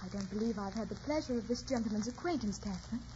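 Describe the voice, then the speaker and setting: A woman's voice: medium pitch, quick pace, lightly acted. An actress playing a naive, genteel young lady who is smitten, polite and hesitant, in old-time radio drama style. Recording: muffled, hissy old radio broadcast.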